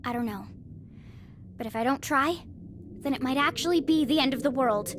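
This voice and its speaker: A woman's voice: high voice, moderate pace, a little hiss or hum, natural voice. A woman voicing a kindhearted young girl in an anime game, sincere and a little hesitant. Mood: earnest. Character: earnest, kindhearted young artificially created girl. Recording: music behind the voice.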